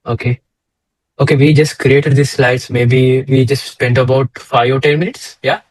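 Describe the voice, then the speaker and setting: A man's voice: mid-range voice, level tone, measured pace, slight background noise, poor audio. A young man from Sri Lanka co-hosting a casual slide talk over a video call, hesitant with many fillers and restarts. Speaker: co-host, data engineer. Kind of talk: casual presentation chat.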